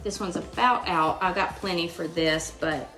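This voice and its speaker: A woman's voice: fairly low pitch, normal speed, over music. A woman with a Western Kentucky Southern accent, chatting casually and folksily as she hosts a live craft tutorial. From Western Kentucky, United States. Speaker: craft host. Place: indoors at a home craft table.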